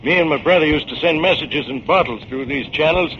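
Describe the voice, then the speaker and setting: A folksy old seadog, played by a man in a forties radio play, high-voiced and obliging. He talks in homespun rustic phrases. The old recording sounds dull. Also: fairly quick, natural voice. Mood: helpful. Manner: homespun, rustic turns of speech. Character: folksy, obliging old sailor and boat renter. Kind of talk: 1940s radio drama acting. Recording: dull, slightly noisy 1940s radio recording.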